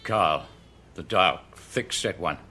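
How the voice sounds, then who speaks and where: A man's voice: deep voice, slow pace, pushed and bright, slight background noise, heavily acted. A man acting in a British radio detective drama as a grudging, evasive lodging-house keeper who answers colloquially and defensively, with fear underneath.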